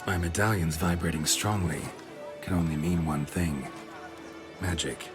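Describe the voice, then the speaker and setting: An actor playing a gruff, stoic monster hunter in a video game, laconic and deadpan. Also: male voice, low-pitched, a little slow, music in the background. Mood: terse, dry. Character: gruff, stoic monster-hunting knight competitor, dry and terse.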